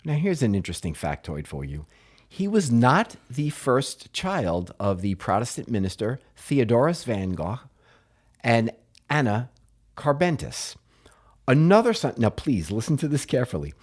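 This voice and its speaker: A man's voice: medium pitch, very animated, moderate pace, darkish tone, breathy edge. An American man co-hosting a comedy podcast, telling a history story in a playful, hammy way with jokey asides. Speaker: podcast co-host presenting a story.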